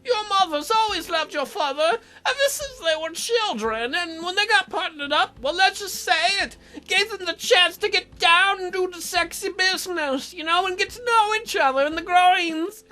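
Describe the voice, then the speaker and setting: A woman's voice: very high-pitched, normal speed, few pauses, pushed and bright, soft background music. A woman voicing a blunt, bawdy grandmother who teases her granddaughter in a visual novel game. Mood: teasing. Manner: sweetly teasing, crude jokes.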